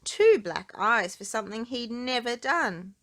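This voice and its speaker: A woman reads a children's story aloud. Her pace is slow and her pitch moves widely from phrase to phrase. She leans on key words and stretches them for emphasis. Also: very animated, fairly bright tone. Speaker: children's book reader. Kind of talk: expressive storybook narration.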